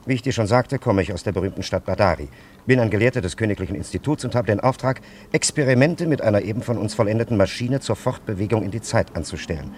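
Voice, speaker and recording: An actor playing a solemn, condescending elderly scholar from antiquity in a radio play, with archaic and over-courteous diction. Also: male voice, lowish voice, expressive, fast, a little mellow, a little hiss or hum, natural voice. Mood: solemn explaining. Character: solemn, condescending ancient scholar and time traveller, elderly man.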